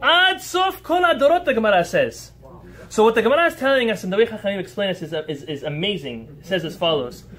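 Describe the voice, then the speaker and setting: A man with a notably high voice teaching as a rabbi before a small live group. He speaks earnestly and emphatically, building his points through rhetorical questions. He answers listeners' remarks on the fly. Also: lively intonation, fast talker, fairly bright tone.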